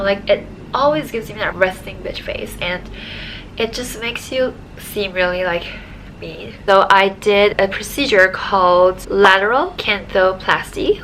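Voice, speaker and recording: A woman's voice: mid-range voice, a bit bright, a little hiss or hum, rough recording. Chatty young woman, nasal and congested. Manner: chatty, frequent "like" fillers. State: dry raspy throat after surgery. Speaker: vlogger. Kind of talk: casual vlog talk to camera.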